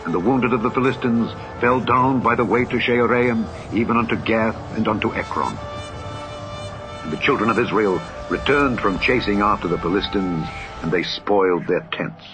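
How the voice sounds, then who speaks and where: A man with a lowish voice reading an old scripture tale for children in a solemn, steady way. His archaic phrasing rolls on over clear background music. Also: moderate pace. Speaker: narrator.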